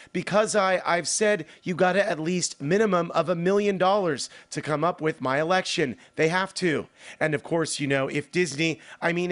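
A man reporting a political story for local TV news from the studio, in a measured newscaster delivery. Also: on the high side, fairly bright tone.

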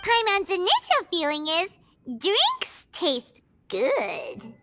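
Squeaky, excitable little fairy girl. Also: slow pace, full character voice. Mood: eager. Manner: squeaky, exuberant, refers to self in third person. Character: small floating fairy companion girl, cheerful, excitable, childlike. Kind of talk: video game character dialogue. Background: music.

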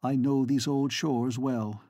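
An earnest, proud yet tender lady speaks in a lifted voice, as a male narrator puts her on. She pleads with warmth and feeling, the tone kept mellow and a little husky. The sound is close and dry. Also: medium pitch, fairly even intonation, slow, no echo. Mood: calm.

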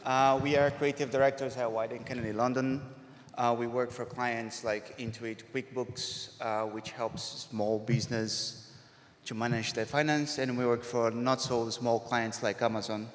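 A man in his thirties, not a native English speaker, explains in a measured, plain way. He stands at a stand mic on stage, and the sound rings with long hall reverb. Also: mid-range voice, flat delivery. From Brazil or Argentina. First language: Spanish or Portuguese. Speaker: creative director. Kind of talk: conference talk.

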